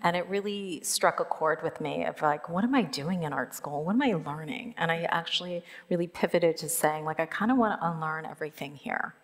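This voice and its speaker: A low-pitched, gravelly-voiced middle-aged woman, her first language Korean, muses in a thoughtful, searching way with frequent pauses and restarts. She speaks into a headset mic on a lecture stage. A long hall reverb trails behind her words. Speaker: artist interviewed on stage. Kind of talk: reflective onstage conversation.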